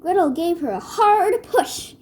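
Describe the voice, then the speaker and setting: A woman narrating a children's story from a script in a measured read-aloud manner. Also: very high-pitched, sing-song, darkish tone, slight background noise.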